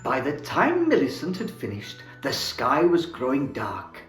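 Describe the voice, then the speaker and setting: Male storyteller, children's book narration, theatrical, very lively pitch, unhurried, roomy echo, faint music. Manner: theatrical, expressive. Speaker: storyteller playing Santa.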